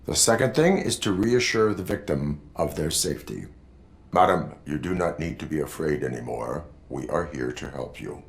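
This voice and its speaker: A man playing a stoic cyborg police officer in a video game, instructing in a formal, deadpan, measured way. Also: very deep voice, vocal fry, exaggerated voice. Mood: stern, instructive.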